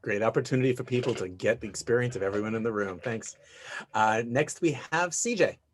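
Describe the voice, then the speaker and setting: A man's voice: medium pitch, brisk, slight background noise. A man with a mid-range voice acts as host of a networking video call. He talks casually, as an emcee would, passing the floor along.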